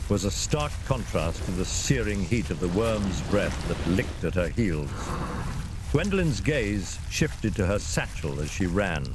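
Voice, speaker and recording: A man's voice: on the low side, noisy background. A man narrating a fantasy story in a dramatic, theatrical and suspenseful scripted style.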